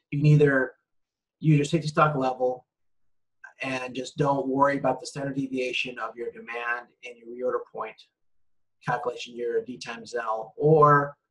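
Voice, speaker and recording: A man's voice: medium pitch, fairly bright tone, poor audio. A man giving a recorded slide lecture, rambling and correcting himself with frequent ums. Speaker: university lecturer.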